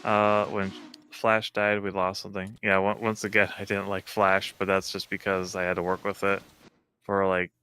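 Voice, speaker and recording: A man's voice: sits low, monotone, moderate pace, pushed and bright, slight background noise. A man chatting casually with friends during a game stream, often laughing as he talks. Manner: chatty, laughs through words. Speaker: streamer guest. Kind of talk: casual chat while gaming.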